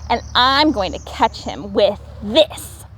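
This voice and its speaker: An excitable little storybook raccoon boy, voiced by a woman, high and swooping. He sounds earnest and childlike, stretching out his words with eagerness. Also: slow, a little hiss or hum, exaggerated voice, low-quality recording. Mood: confident, eager.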